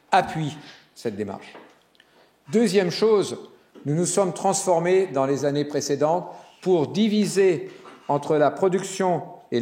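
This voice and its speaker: A man giving a conference keynote as a hospital executive, animated and joking, with some fillers and restarts. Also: a little high. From Italian descent. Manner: animated speaker with jokes and fillers. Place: conference hall. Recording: roomy hall sound.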